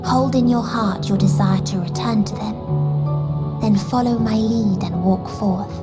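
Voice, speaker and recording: An actress playing a gentle, hopeful otherworldly guide in a video game, speaking in a measured, tender, formal way. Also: female voice, mid-range voice, natural voice. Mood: tender resolve. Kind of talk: dramatic video game voice acting. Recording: loud operatic music behind. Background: loud operatic music.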